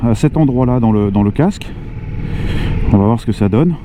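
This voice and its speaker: A man's voice: medium pitch, lively intonation, brisk. A man with an accent from France chats casually and hesitantly, rambling and repeating words, as he vlogs into a lavalier inside his helmet while riding a motorcycle. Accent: French from France. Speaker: motorcycle vlogger. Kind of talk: casual unscripted vlog chat while riding. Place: outdoors, riding a motorcycle in traffic. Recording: loud engine, wind and road noise. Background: motorcycle engine and traffic.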